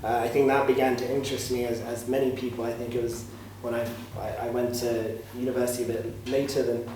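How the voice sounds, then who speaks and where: Flat-voiced man, echoey room. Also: medium pitch, a little fast. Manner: thoughtful, hesitant, many "kind of" fillers. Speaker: panellist, curator. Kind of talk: panel discussion talk. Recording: echoey room, mic far off, clear noise.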